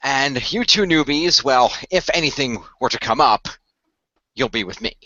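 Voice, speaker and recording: Man playing a formal team leader, bright forceful voice. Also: medium pitch, lively intonation, normal speed, dry recording, highs cut off. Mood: calm, commanding. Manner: wordy, formal, hesitant. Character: measured, formal male team leader briefing young demon-fighting heroes. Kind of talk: amateur radio play role-play.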